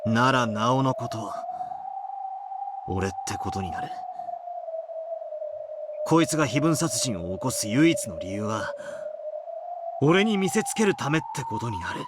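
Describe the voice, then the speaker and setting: An actor playing a brash young amateur detective in a visual novel, speaking in a rough, casual, dramatic way. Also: male voice, medium pitch, sing-song, fairly quick, long pauses, very noisy, natural voice. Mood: brooding, reasoning. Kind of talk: Japanese visual novel voice acting.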